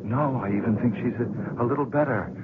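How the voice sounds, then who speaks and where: A man's voice: medium pitch, brisk, lightly acted. An actor playing an earnest, awestruck man in a 1970s radio drama. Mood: awed, hushed. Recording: noisy, tinny 1970s radio recording.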